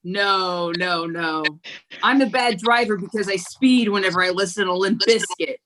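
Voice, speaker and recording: A woman performing stand-up one-liners at an online open mic, with a slow deadpan delivery and giggles between jokes, heard over a video call. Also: mid-range voice. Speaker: stand-up comedian.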